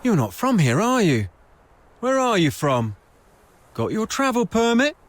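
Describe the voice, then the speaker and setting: Game role: taunting, officious town guard, lively intonation, slightly high voice. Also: male voice, a little slow, heavily acted. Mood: taunting, suspicious. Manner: taunting, blustering. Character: gruff, officious, jeering male town gate guard. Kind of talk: video game voice acting.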